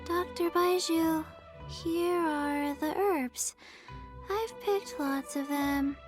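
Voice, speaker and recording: A woman voicing a little undead girl in a video game, earnest, childlike and halting, with a deadpan delivery. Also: very high voice, music in the background, exaggerated voice. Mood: earnest, flat. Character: little zombie girl herb gatherer, flat and earnest.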